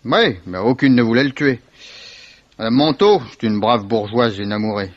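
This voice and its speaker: An actor playing a worldly, evasive Swiss salesman in a 1950s French radio mystery, sardonic, unhurried and given to repeating himself. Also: medium pitch, no room sound, natural voice. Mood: dismissive, amused. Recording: dull, dry vintage radio recording.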